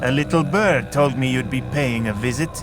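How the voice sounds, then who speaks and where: An actor playing a chatty, welcoming guild bureau leader in a video game. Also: male voice, medium pitch, moderate pace, music in the background, natural voice. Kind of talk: video game cutscene acting.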